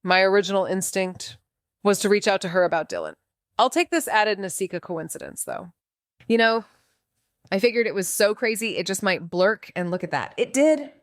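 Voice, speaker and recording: An actress playing a brash, impulsive young amateur detective with a casual, sarcastic way of talking full of fillers. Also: female voice, medium pitch, moderate pace, no echo, clean studio sound, lightly acted. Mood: breezy. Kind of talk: audio drama acting.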